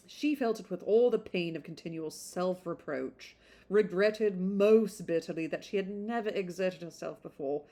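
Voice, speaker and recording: A woman with a slightly low-pitched voice reads aloud as a podcast host. Her delivery is informal audiobook style, mixed with chatty, rambling asides addressed to the listener. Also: warm tone. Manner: conversational, rambling off on tangents in asides. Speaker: podcast host reading a classic novel aloud.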